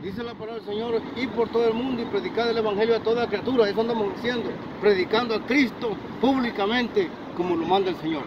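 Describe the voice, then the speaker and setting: Man, middle-aged, street preacher, fervent, high-pitched, outdoor parking lot, phone recording, dull, traffic behind. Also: quick pace, few pauses. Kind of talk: street interview answers. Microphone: phone camera held by interviewer. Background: traffic noise.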